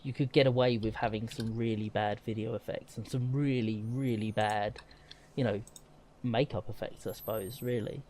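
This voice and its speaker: A man's voice: fairly low pitch, steady tone, normal speed, a little mellow, slight background noise. Rambling, emphatic man chatting casually. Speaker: podcast co-host. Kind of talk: casual chat about video games.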